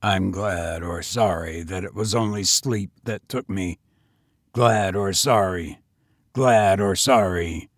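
A man doing a grim, weary gentleman tramp. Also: deep voice, takes it slowly, dry recording, studio quality, pitched below the performer's own voice. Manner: grim, subdued, clipped. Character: weary, bitter gentleman tramp, low-voiced.

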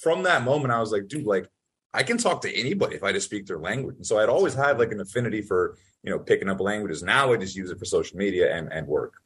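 A man's voice: on the low side, breathy edge. A young man, born in Italy, telling stories in a casual interview with lots of fillers and acted-out quotes. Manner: fast, animated storytelling full of fillers. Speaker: podcast guest.

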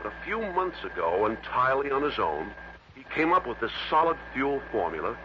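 A man's voice: medium pitch, sing-song, fairly quick, natural voice. An actor playing a genial, chatty but slightly guarded American businessman in a 1960s radio drama, hearty and conversational. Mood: confiding. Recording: muffled, noisy vintage radio broadcast.

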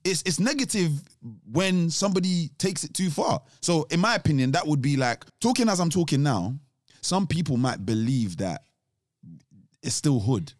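A man's voice: on the high side, lively intonation, normal speed, dry recording, studio quality. A young man co-hosting a podcast, talking casually and explaining his views at length with restarts. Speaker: podcast co-host. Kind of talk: casual chat.

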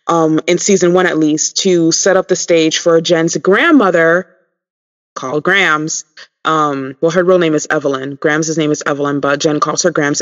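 A man's voice: fairly high pitch, fairly bright tone. A man co-hosting a podcast in casual chat, talking listeners through his points with lots of ums. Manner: chatty, many ums, addresses listeners. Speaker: podcast co-host. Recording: dull lo-fi sound.

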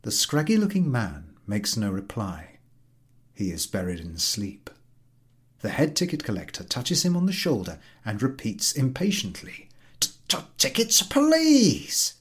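A man's voice: lowish voice, very animated, normal speed. A man narrating a classic short story in a scripted, literary reading style. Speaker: story reader.

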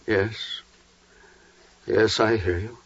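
A man's voice: on the low side, steady tone, drawn-out words, husky, no echo, full character voice. An actor playing a troubled, humble, pious biblical father, earnest and theatrical in 1940s radio drama style. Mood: stunned. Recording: muffled, dry vintage 1940s radio recording.

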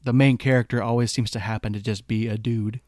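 A man's voice: mid-range voice, fast talker, dry recording, studio quality. A man co-hosting a casual podcast chat, talking loosely with many fillers and often checking for agreement. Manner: relaxed, filler-heavy, seeks agreement with "right?". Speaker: podcast co-host.

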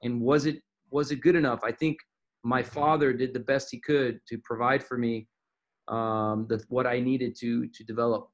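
A man's voice: mid-range voice, flat delivery, moderate pace, highs cut off. A man from Miami, a soccer coach, answering interview questions thoughtfully with frequent restarts and fillers. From Miami, Florida.

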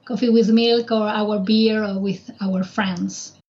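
A woman's voice: medium pitch, steady tone, slow pace. A woman from Spain, speaking English with a Spanish accent as a podcast guest, reminiscing and stumbling a little as she talks. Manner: warm, reminiscing, restarts and stumbles. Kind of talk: casual remote interview. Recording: dull lo-fi remote audio.

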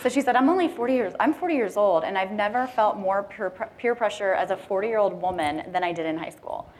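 A woman's voice: mid-range voice, lively intonation, fast, darkish tone, slight background noise. A woman moderating a panel discussion in a chatty, informal way, teasing as she goes.

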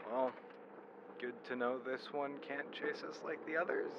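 A man's voice: medium pitch, fairly even intonation, normal speed, lightly acted. A man acting a wary, anxious road-trip companion in an audio drama, speaking in terse phrases. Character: wary, urgent male travel companion on supernatural road trip. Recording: muffled, loud vehicle noise.

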